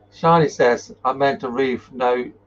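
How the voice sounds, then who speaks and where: A man hosting a casual paranormal live chat and reading out viewer comments, talking in an informal, halting way. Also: mid-range voice. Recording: muffled, noisy live-stream audio.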